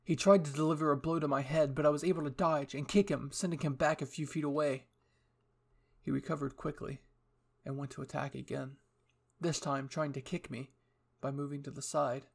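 A man's voice: on the high side, fairly even intonation, normal speed, vocal fry, long pauses. A young man reading scripted material as the narrator and host of a story channel.

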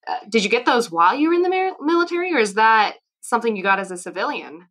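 A woman's voice: on the high side, big pitch swings, fast, a bit bright. A woman from California hosting a podcast interview, asking questions in an upbeat, playful way. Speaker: podcast host.

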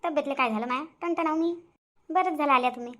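A woman's voice: sits high, quick pace, fairly bright tone. A woman voicing a proud, grumbling grandmother in a cartoon family drama, speaking with a Vidarbha Marathi accent. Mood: boastful. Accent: Vidarbha Marathi (Varhadi). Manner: nagging, complaining, muttering asides. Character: proud, grumbling, boastful grandmother and mother-in-law.

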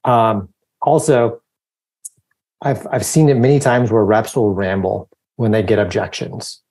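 A man in his thirties giving relaxed, conversational sales advice on a video call from a home office. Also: fairly low pitch, a little slow. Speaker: company founder and guest speaker. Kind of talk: webinar advice.

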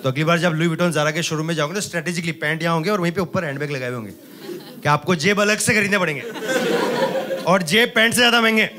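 A man in his thirties performing an animated stand-up comedy set on a club stage with a handheld mic, teasing the audience. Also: a little high, quick pace. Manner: animated, gestures a lot, teases the audience. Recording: loud crowd laughter and noise. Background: audience laughter.

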